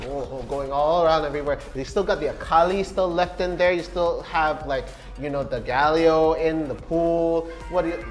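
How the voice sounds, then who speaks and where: A man's voice: a little high, normal speed, few pauses, soft background music. A young Malaysian man casting live esports commentary, playful and building hype for the match. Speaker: esports caster.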